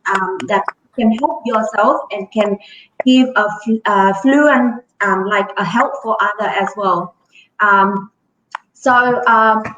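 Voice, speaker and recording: Halting Vietnamese-accented host in an echoey room. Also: female voice, on the high side, dull audio, noisy background. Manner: halting, searching for words. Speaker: interview host. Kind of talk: interview questions.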